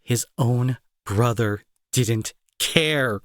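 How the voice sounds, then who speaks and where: A man playing a remorseful, protective bully with a grieving streak, in an intimate dramatic audio roleplay with halting, earnest delivery and a husky, breathy voice. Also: medium pitch, fairly bright tone, dry recording, clean studio sound. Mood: grieving, regretful. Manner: halting, emotional, earnest pleading. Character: angry male bully turned remorseful, protective, grieving brother.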